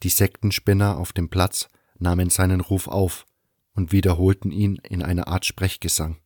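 A man narrating a fan radio play as a dramatic scripted story, with measured, deliberate phrasing and pauses. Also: deep voice, flat delivery, darkish tone, dry recording, clean studio sound. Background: music.

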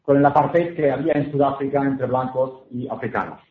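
A man's voice: medium pitch, steady tone, brisk. Male interpreter from Spain, mid-range voice, hesitant paraphrasing; lo-fi, phone-like recording with room echo. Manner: paraphrasing each phrase into Spanish, with frequent hesitations. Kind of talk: consecutive interpreting at a book presentation. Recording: echoey hall, tinny phone-like sound.

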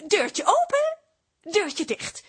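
A woman's voice: extremely high pitch, huge pitch swings, drawn-out words, exaggerated voice. An actress playing an earnest, desperate amateur theatre club chairwoman, polite and gushing, in a radio comedy. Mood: flustered. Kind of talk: Dutch radio comedy drama acting.

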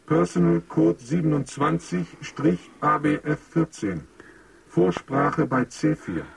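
A man's voice: a little high, takes it slowly, creaky, recorded from a distance, a little hiss or hum, full character voice, rough recording. An actor playing a formal male computer assistant in a radio drama, reading out announcements in an impersonal, clipped, officious manner.

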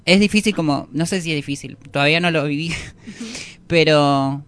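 Female musician guest, Rioplatense accent, clean smooth tone, slangy and rambling casual interview delivery. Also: low-pitched, normal speed. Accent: Rioplatense Argentine Spanish. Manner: slangy, self-deprecating, rambling.

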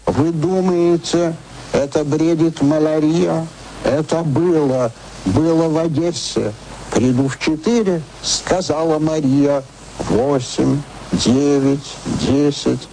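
Slow, declamatory male poetry reader. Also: a little high, steady tone, dark tone, slight background noise, poor audio. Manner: declamatory, emphatic. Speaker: reader of verse. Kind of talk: archival poetry recitation.

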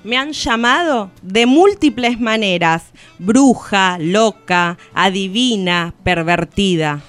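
An actress playing a defiant woman who speaks for all women, in a solemn, deliberate radio theatre recitation. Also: mid-range voice, animated, a bit bright, faint music behind. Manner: solemn, deliberate, poetic declamation. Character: defiant collective woman of all ages, grieving and accusing.

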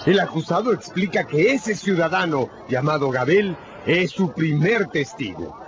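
A man's voice: a little high, big pitch swings, a little slow, a crowd in the background. A man narrating a radio drama from a script in a dramatic style. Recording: dull, noisy radio recording.

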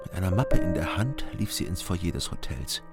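Deep, gravelly brooding male surveillance narrator. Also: very animated, normal speed. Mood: brooding, sober. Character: brooding East German surveillance agent narrating his investigation. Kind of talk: first-person radio crime drama narration. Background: music.

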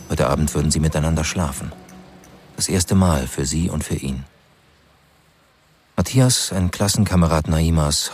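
A man narrating a scripted crime radio drama as a grave, sober storyteller with a measured delivery. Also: extremely low pitch, darkish tone.

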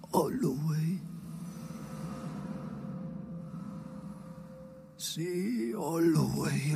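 An actor playing a brooding, haunted old storyteller in a radio play, hoarse and often near a whisper, speaking in halting, repeated fragments. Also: male voice, medium pitch, a little mellow, heavily acted. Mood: hushed, haunted. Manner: halting, fragmented, incantatory repetition. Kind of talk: slow dramatic radio play monologue.

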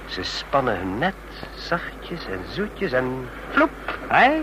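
An actor in a Dutch radio drama playing a chatty, smug train passenger obsessed with spy stories, talking in a knowing, needling way. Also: male voice, big pitch swings, slow. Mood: gleefully needling. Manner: talkative, knowing, needling with rhetorical questions. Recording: noisy radio play with vehicle rumble.

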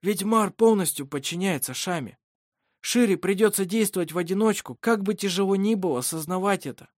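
An old grandfather of a fantasy tale, voiced by a narrator, grave and earnest. His pitch sits high as he implores with weary resignation, in a very dry recording. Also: a little fast, dry recording, lightly acted. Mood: hurried resignation. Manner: sighing, muttering, earnest.

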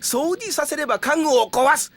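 A man's voice: very high-pitched, normal speed, fairly bright tone. An actor playing a cranky, bossy old town mayor in an audio drama, with blustery old-man speech. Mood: scolding. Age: older. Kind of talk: Japanese audio drama acting.

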